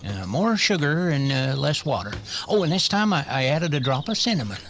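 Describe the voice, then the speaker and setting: An actor playing a folksy, fussy old town doctor who stammers and gossips wryly in a radio western. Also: male voice, a little high, sing-song, a little fast, a bit bright, a little hiss or hum. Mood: gossipy, sly. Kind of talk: old-time radio western drama acting.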